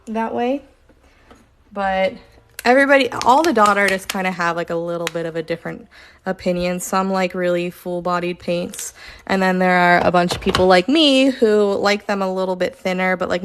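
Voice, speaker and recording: A woman casually chatting during a live stream while she paints, rambling with lots of fillers and sometimes talking softly. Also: medium pitch, gravelly. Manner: says she is relaxed, talks softly at times.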